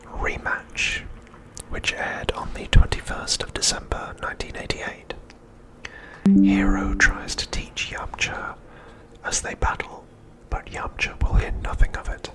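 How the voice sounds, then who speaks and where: A man whispering a scripted recap as ASMR narration, softly and with deliberate pauses, close to the microphone. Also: poor audio.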